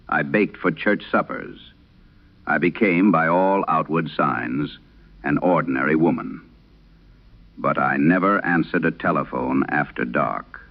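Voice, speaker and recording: Very deep-voiced man narrating vintage radio horror. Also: no echo, phone-quality sound. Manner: solemn, measured, literary delivery. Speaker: horror audio drama host reading letters. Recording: band-limited, dry vintage radio sound.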